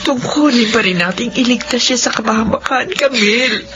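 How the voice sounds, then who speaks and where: A woman's voice: on the high side, moderate pace, natural voice. A woman playing a worried, pleading older mother in a radio drama, with a Filipino accent and emotional delivery. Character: worried, pleading elderly mother of grown children. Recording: dull lo-fi radio drama, faint noise.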